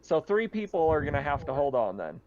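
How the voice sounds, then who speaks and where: A man's voice: fairly high pitch, very animated, a little fast, darkish tone. A man acting as game master, talking casually and narrating a tabletop roleplaying session. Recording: dull lo-fi with background noise.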